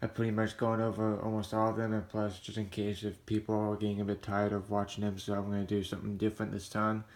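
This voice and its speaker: A man's voice: on the low side, monotone, fast, few pauses. A man casually reviewing gadgets for a hobby video in a hesitant, rambling, plain-spoken way. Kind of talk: casual product review to camera.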